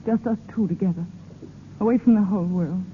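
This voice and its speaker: An actress playing an anxious, devoted society wife in a 1930s radio drama, earnest and a little flustered. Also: female voice, medium pitch, a little fast, in a large hall, natural voice. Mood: pleading, eager. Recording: noisy, hollow, narrow-band old radio broadcast.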